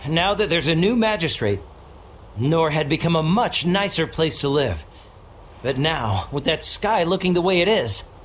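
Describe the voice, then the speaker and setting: A man playing a grateful, gentle townsman in a video game. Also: fairly high pitch, expressive, moderate pace, a little hiss or hum.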